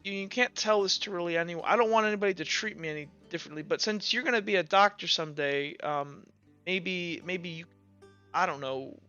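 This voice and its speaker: Man playing a shy, stammering, bright-voiced teenage boy. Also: high voice, a little fast, clear, resonant tone. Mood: hesitant, confiding. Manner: hesitant, stammering, sheepish. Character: shy, scarred teenage boy, earnest and guarded. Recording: dull audio with music.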